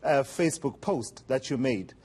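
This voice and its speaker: Husky TV interviewer. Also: male voice, mid-range voice, expressive, darkish tone. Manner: measured, pressing, with fillers. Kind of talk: formal TV interview.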